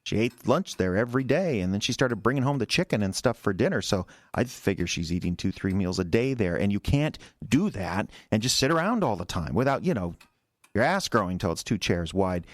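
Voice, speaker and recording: Bitter henpecked husband for an audio drama, stammery and rambling, pitch all over, super dry recording. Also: male voice, big pitch swings, fairly quick, dry recording. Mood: bitter, agitated. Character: resentful, defensive henpecked husband confessing, rambling and wounded. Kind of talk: naturalistic audio drama acting.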